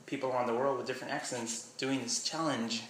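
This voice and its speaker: Young male vlogger, slight Miami accent, bright tone, rambling, echoey room. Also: mid-range voice, steady tone, fairly quick, slight background noise. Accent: slight Miami English. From Miami, USA. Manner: rambling, trails off with "I don't know". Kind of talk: casual vlog chat, short read-aloud passage.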